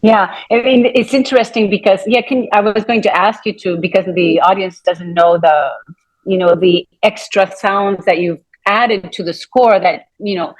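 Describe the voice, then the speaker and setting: A woman with a medium-pitched voice moderates an informal arts conversation. Her questions and remarks meander, and she often breaks off mid-sentence to start again. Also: fairly even intonation, moderate pace, poor audio. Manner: meandering, self-interrupting. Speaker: moderator from an opera company. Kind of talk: conversational interviewing.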